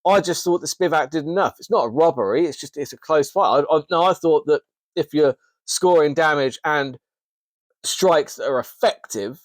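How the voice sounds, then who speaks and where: A man's voice: fairly high pitch, normal speed, no echo. Chatty man recapping fights. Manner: chatty, enthusiastic, frequent restarts. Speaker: host. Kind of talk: casual MMA recap commentary.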